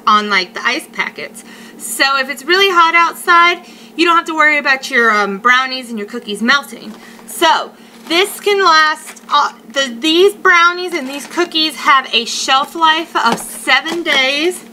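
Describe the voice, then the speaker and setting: Female food vlogger, 30s, high and bright voice, chatty and emphatic, casual home webcam review. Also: low-quality recording. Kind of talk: casual unboxing review to camera. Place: living room at home. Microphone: webcam or laptop mic at arm's length. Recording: faint noise, home webcam sound.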